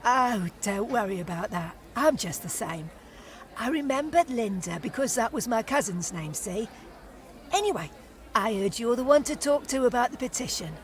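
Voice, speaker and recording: A woman's voice: on the high side, expressive, normal speed, close to an everyday voice. An actress playing a gossipy, friendly middle-aged woman who chatters in a homely way. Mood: chatty.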